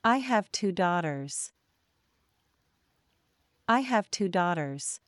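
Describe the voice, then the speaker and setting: A woman teacher reads short practice sentences at a very slow, careful pace. Her voice is smooth and clear, a little low, and sits close and dry. She says each sentence twice, the same way both times. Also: lively intonation, dry recording. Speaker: English teacher. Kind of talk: reading example sentences for learners.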